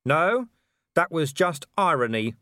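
A man playing a worn-out, defiant watchman shouting back. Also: high voice, very animated, fairly quick, no room sound, studio quality, voice pushed up. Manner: shouting, sarcastic retorts. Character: weary, defiant middle-aged city watchman.

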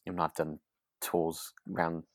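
British man, deep pitch, dry recording, casual podcast co-host, hesitant, thinking aloud. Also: monotone, normal speed, no room sound. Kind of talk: relaxed casual discussion.

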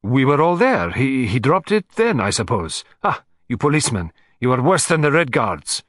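Casting: imperious Russian countess for storybook played by a man, theatrical, very animated, recorded dry and close. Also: mid-range voice, normal speed, no echo, voice pushed up. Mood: haughty, defiant. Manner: theatrical, commanding, sweeping declarations.

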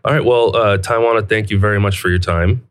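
Deep-voiced relaxed male podcast host. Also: brisk. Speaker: podcast host, alumni affairs staff. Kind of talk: casual chat.

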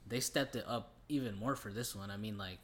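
A man's voice: on the low side, brisk, a bit bright, slight background noise. A young man co-hosting a podcast, giving his take on films in a casual, slangy, laid-back way. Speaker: podcast co-host. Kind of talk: casual film review chat.

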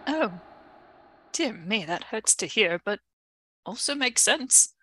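A woman's voice: on the high side, animated, slow, background noise. A woman voicing a flustered, polite and earnest female professor in a melodramatic radio drama, with a fretful, hesitant manner. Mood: worried, earnest.

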